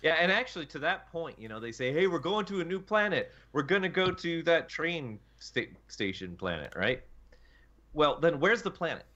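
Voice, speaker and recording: Male gaming podcast co-host, mid register, bright and punchy, highly animated conversational delivery. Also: normal speed, lo-fi sound. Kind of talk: casual gaming panel discussion.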